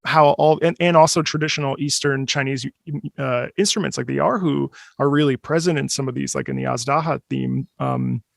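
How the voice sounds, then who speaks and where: Creaky-voiced, enthusiastic man hosting. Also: mid-range voice, fairly even intonation, brisk, few pauses, no room sound, studio quality. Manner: enthusiastic, rambling. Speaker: host. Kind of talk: casual chat.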